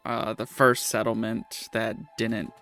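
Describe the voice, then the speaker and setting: A game master explaining the world in a relaxed, matter-of-fact way. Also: medium pitch, takes it slowly, faint music behind. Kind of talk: casual game narration.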